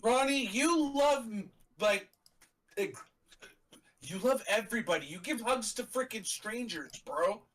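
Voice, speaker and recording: A young man from Canada, blunt and animated, talking over a wrestling show on a live stream. His voice sits a little high and sounds bright and sharp. He is set back from the mic, so a bit of room comes through. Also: measured pace, far from the mic, poor audio. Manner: animated, blunt, swears casually. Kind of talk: casual live reaction stream chat.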